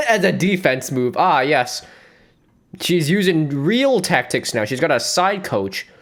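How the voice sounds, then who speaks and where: A male streamer talks through a dating show clip in a loose, joking, rambling way, his pitch jumping all over as he riffs. He keeps breaking into laughs. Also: sing-song, slow, fairly bright tone. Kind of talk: joking reaction commentary.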